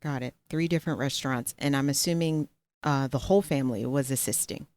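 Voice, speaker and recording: A woman's voice: a bass voice, brisk, darkish tone. A woman hosting an interview, asking direct, probing follow-up questions. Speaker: podcast host.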